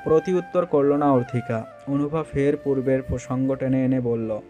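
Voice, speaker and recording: A man's voice: mid-range voice, fairly even intonation, normal speed, warm tone, soft background music. A man reading scripted narration for a romantic audio story.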